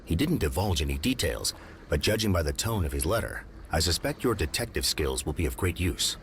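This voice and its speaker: A man playing a composed gentleman detective in an adventure game, speaking in a formal, measured, courteous way. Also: deep voice, big pitch swings, a little hiss or hum, full character voice. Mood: composed, purposeful. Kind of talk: scripted adventure game dialogue.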